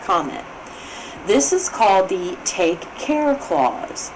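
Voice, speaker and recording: A woman reading legal text and commentary aloud in a formal, measured and careful way, occasionally stumbling on a word. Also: on the low side, very animated, a little hiss or hum, rough recording. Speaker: reader, state assembly coordinator.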